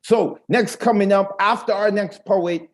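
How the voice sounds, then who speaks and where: Bronx guy hosting a poetry open mic on a video call, kinda high voice, hyped and slangy. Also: unhurried. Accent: New York, Bronx.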